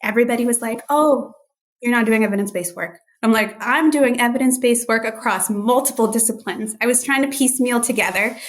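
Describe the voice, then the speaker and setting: A woman's voice: on the high side, fairly quick. A woman speaking as a guest clinician on a podcast, telling personal stories earnestly and often acting out what others said. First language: Urdu and Hindi besides English. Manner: earnest, quotes others' remarks in dialogue. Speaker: guest speech-language pathologist. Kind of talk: podcast interview, personal storytelling.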